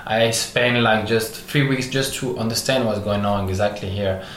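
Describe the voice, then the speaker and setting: A man from Algeria who grew up in France, a French speaker talking as an artist guest in an interview, pausing often and searching for English words. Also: lowish voice, a bit bright. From Algeria, raised in France. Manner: many pauses and restarts, searching for English words. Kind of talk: halting interview answers. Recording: echoey room with faint noise.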